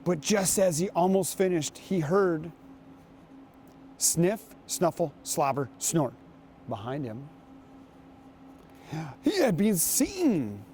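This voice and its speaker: A man reading aloud and talking to children as a guest reader, with an unhurried, storytelling manner. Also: a little high, big pitch swings, darkish tone, slight background noise. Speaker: guest reader, basketball coach.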